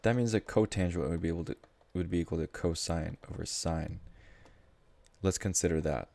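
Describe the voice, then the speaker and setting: A man's voice: sits low, normal speed, creaky, warm tone, no echo. A man tutoring math live in a casual, informal way, thinking aloud as he explains.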